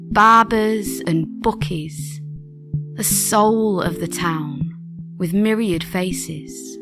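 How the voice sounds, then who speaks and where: A female reader with a slightly low voice recites verse over music. Her delivery is very slow, measured and rhythmic, like someone telling an old story. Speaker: guest reader. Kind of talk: poetry recital.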